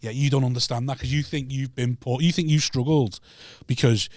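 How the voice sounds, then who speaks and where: A man's voice: mid-range voice, fairly even intonation, a little fast, a little mellow, no echo, studio quality. A man hosting a podcast, talking in a casual, slangy, rambling way. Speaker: podcast host.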